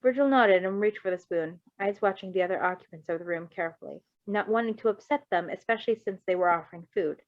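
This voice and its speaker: A woman's voice: mid-range voice, quick pace, hardly any pauses, dry recording, dull audio. A woman reading fan fiction aloud as an amateur narrator, with casual commentary.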